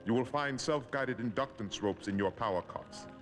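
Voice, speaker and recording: A man playing a stern, mysterious older mentor in an animated series, speaking formally and solemnly. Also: medium pitch, normal speed, natural voice. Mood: solemn, instructing. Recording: music behind the dialogue.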